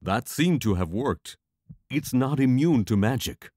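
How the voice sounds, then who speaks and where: A man's voice: medium pitch, expressive, a little slow, a little mellow, dry recording, clean studio sound. A man playing a wry, pragmatic lightning mage who reasons aloud in a fantasy audio drama.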